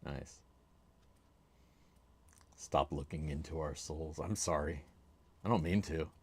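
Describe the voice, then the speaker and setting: A man hosting a casual livestream, chatty and laid-back, with a loud voice, talking into a desk microphone at his home streaming setup. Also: extremely low pitch, slow pace, breathy edge. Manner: chatty, laid-back, reads chat names aloud. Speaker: livestream host.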